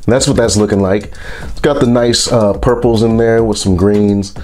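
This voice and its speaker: A man with a congested, stuffy voice from a sinus infection, reviewing products in a relaxed, rambling vlog. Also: fairly low pitch, normal speed. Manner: relaxed, rambling, with frequent fillers.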